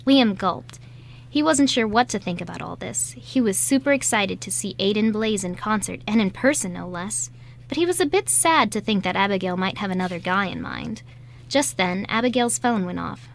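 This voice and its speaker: A woman's voice: mid-range voice, slight background noise. A woman reading scripted story narration as the narrator of a radio play.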